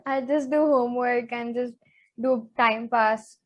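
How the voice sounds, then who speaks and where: Teen girl co-host, slightly high voice, chatty and quick to get worked up. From Zambia. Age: teens. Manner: chatty, animated, says "like" a lot. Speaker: co-host, interviewee. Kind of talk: casual chat podcast.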